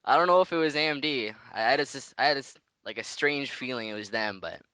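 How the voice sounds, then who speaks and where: A man's voice: medium pitch, fast, sharp tone, clean tone, no echo. A man making a casual prank phone call, rambling in slang and persistently asking naive questions. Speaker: prank caller.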